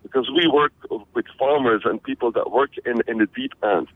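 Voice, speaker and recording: A man's voice: mid-range voice, brisk. Belgian-born man on a tinny line. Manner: energetic, repeats words when starting. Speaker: interview guest, conference organizer. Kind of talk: radio interview. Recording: tinny phone-like radio line.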